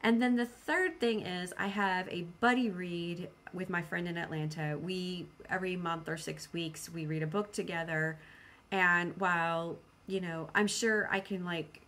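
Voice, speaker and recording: A woman's voice: fairly low pitch, fairly even intonation, measured pace, a bit bright. A woman talking casually to her viewers as a vlog host, rambling and thinking aloud as she goes. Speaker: host of a book channel.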